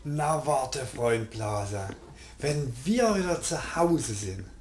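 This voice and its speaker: A man's voice: medium pitch, expressive, slow pace. A man playing a strict, irritable middle-aged father in a radio play. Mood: stern. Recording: roomy sound with clear noise.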